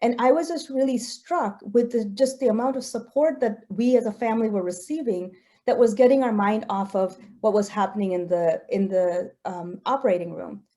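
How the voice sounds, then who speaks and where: A woman's voice: medium pitch, moderate pace, few pauses, dark tone. A woman in her forties, a researcher whose first language is Gujarati, giving an earnest, personal talk with slides. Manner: earnest, personal, with occasional fillers.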